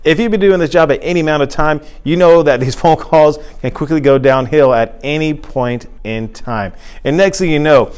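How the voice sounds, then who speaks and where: A man's voice: fairly high pitch, normal speed, hardly any pauses, darkish tone, clean tone. A man giving an informal instructional talk as a teacher, explaining things conversationally and repeating phrases for emphasis. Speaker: teacher and presenter.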